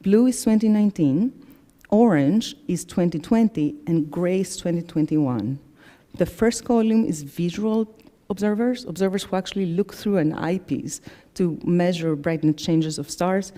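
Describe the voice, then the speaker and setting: A middle-aged woman from Greece, whose first language is Greek, giving a measured presentation to members with frequent fillers and self-corrections. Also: fairly low pitch, warm tone. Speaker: executive director presenting annual report.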